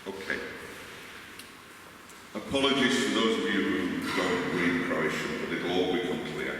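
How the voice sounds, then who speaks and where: A man from Liverpool delivers an academic lecture in his local English accent. His low voice has a bright, forceful edge and is heard from off in a very echoey hall. He speaks deliberately, with emphasis and dry asides. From Liverpool, England. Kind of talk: conference lecture. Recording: echoey hall, distant mic, faint noise.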